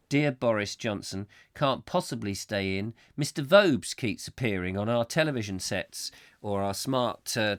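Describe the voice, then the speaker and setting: A slightly hoarse man hosting a comic live chat show, rambling whimsically and mocking himself as he reads out viewer comments. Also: medium pitch, a bit bright, dry recording, studio quality. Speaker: host.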